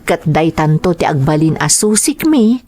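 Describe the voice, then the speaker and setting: A woman's voice: low voice, very animated, normal speed, a little mellow. A woman playing an earnest, dutiful young woman in an audio drama, with a Filipino accent. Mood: troubled, confiding.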